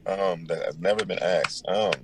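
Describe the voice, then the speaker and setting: Deep-voiced man, slow and thoughtful. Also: steady tone, background noise. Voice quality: deep, powerful voice. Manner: thoughtful, pauses with many ums. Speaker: musician guest. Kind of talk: casual interview answers.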